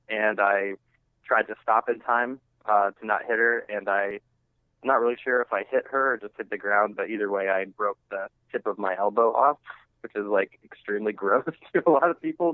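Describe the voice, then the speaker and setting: A man being interviewed as a musician, chatting casually and haltingly, often saying "like" and "you know". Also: on the low side, no echo. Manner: halting and conversational, heavy use of "like" and "you know". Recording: thin phone-line sound.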